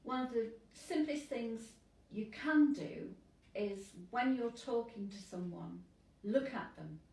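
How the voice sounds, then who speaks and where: A woman priest who lives with hearing loss, speaking earnestly and in measured phrases in an interview. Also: on the high side, expressive, gravelly, darkish tone, roomy sound, distant mic, rough recording.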